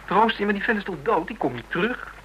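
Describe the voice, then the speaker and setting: A man's voice: high-pitched, fast talker, a little hiss or hum, poor audio. A man playing an insecure, blunt young suitor in a radio drama, hesitant and informal in his speech. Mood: puzzled, exasperated. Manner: hesitant, stumbling, informal, excitable. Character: Ruud, insecure jealous teasing young suitor, blunt and boyish. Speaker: actor in a radio play. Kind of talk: Dutch radio drama acting, naturalistic dialogue.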